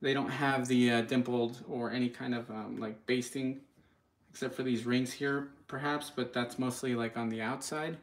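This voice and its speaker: A man's voice: medium pitch, steady tone, normal speed. A man giving casual live product commentary in a home kitchen, speaking informally and a bit hesitantly straight to viewers. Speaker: product reviewer, channel host.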